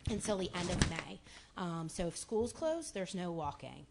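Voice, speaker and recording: A woman with a raspy voice addressing a city commission meeting in a chatty way, with many fillers and restarts. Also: fairly low pitch, very animated, moderate pace, very noisy.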